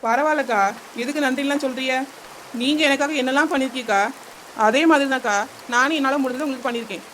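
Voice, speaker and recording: Woman doing a brave young auntie, high voice, talks fast, keeps calming the kid. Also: a little hiss or hum. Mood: warm. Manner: reassuring, brisk, fast-talking. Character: brave young village woman, caring aunt. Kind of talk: amateur audio drama.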